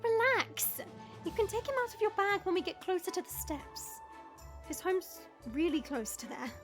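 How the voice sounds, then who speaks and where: Lady playing a young dragon princess, high voice, patient n polite, audio drama w music under it. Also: big pitch swings, moderate pace. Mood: teasing, calm. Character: composed, kindly young dragon princess in altered form. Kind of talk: audio roleplay drama acting. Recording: music behind the voice.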